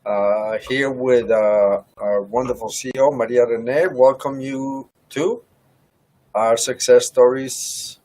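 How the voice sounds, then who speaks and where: A man's voice: medium pitch, fairly even intonation, takes it slowly, darkish tone. A man from Colombia hosting an online interview, welcoming people with short interjections. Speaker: host. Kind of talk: interview over a video call.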